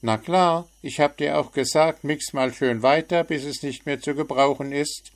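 A man's voice: medium pitch, fairly even intonation, a little slow, pitched above the performer's own voice, natural voice. A man voicing a cheeky little bird who teases with sarcastic remarks, in a storybook reading. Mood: teasing. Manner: teasing, sarcastic.

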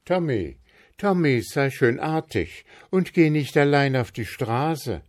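A man's voice: mid-range voice, big pitch swings, slow, voice pushed up. A man voicing an anxious mother who warns her son, pleading, in a storybook reading. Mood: warning.

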